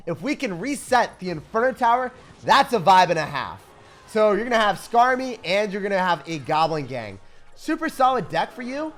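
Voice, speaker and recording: A man's voice: high-pitched, a bit bright. A young man giving hyped, playful, slangy commentary over gameplay as a video host. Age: young adult.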